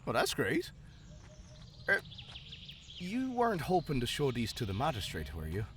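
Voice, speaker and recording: A man's voice: mid-range voice, huge pitch swings, normal speed, a bit bright, a little hiss or hum. A man playing a loyal, protective town guard in a fantasy audio roleplay, dry and respectful in manner. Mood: hesitant.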